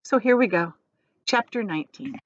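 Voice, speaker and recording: A woman's voice: medium pitch, animated, moderate pace, dry recording, highs cut off. A woman reading a children's book aloud as a teacher would, expressively, adding explanations for her listeners.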